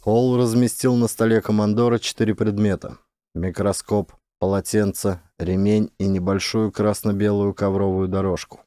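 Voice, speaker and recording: Mellow, lowish-voiced male audiobook narrator. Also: little pitch movement, normal speed, clear, resonant tone, dry recording, clean studio sound. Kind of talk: dramatic scripted fiction narration.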